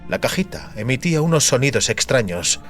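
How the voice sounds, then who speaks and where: A man's voice: mid-range voice, very animated, fairly quick, fairly bright tone, soft background music. A man narrating fantasy fiction as a dramatic, scripted audiobook.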